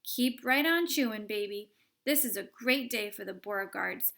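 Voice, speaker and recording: A woman voicing a brash, boastful father in a storybook read-aloud, loudly cheering on his daughter. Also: medium pitch, lively intonation, normal speed, gravelly. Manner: loud, hearty, boastful.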